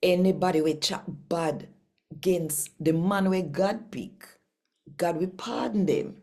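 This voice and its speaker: A woman with a Jamaican accent reading scripture expressively and addressing listeners directly, with deliberate, emphatic storytelling and pauses. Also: lowish voice, warm tone. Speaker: host reading daily scripture.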